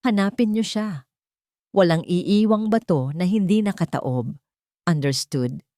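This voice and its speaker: A woman's voice: on the low side, a little slow, mellow, dry recording, studio quality, close to an everyday voice. A woman playing a cold, controlled millionaire husband in a dramatic story, curt and commanding.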